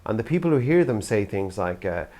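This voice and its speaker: A man's voice: fairly low pitch, big pitch swings, a little fast, mellow. An older Irish man from the theatre world gives an earnest, thoughtful talk to camera. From Ireland. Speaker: youth theatre practitioner.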